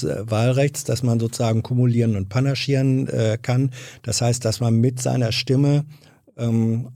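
Mellow gravelly male radio host. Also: mid-range voice, dry recording, studio quality. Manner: brief prompts and questions. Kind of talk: interviewing.

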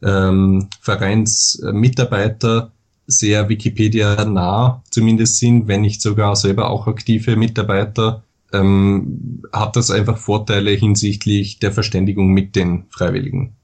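A man's voice: lowish voice, level tone, a little mellow. A man from western Austria with an Austrian accent, a podcast guest explaining at length in careful standard German with frequent hesitations. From Vorarlberg, western Austria. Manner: measured, explanatory, with many hesitations. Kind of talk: podcast interview.

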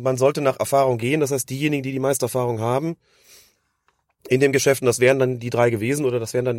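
A man, hoarse from a cold, giving long analytical explanations as a podcast co-host. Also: medium pitch, darkish tone, no echo, studio quality. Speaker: podcast co-host discussing refereeing. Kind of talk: casual podcast chat.

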